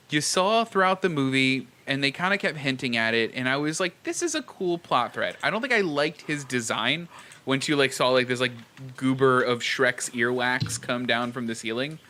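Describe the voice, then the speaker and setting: A man co-hosting a podcast, chatting casually about films in an animated, slangy, exclamatory way. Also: mid-range voice, normal speed, a little hiss or hum. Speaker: podcast co-host.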